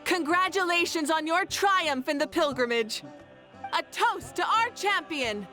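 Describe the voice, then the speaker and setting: A woman's voice: extremely high pitch, lively intonation, measured pace, bright, forceful tone, faint music behind, heavily acted. A woman voicing a confident, regal female ruler in a video game, speaking in a commanding way. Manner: commanding, laughs easily. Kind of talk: game voice acting.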